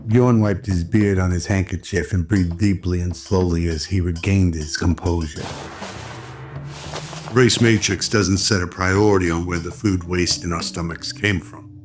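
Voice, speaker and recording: A man's voice: low-pitched, fairly even intonation, measured pace. A man giving scripted dramatic narration in the style of an old radio drama. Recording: loud music under the voice. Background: background music.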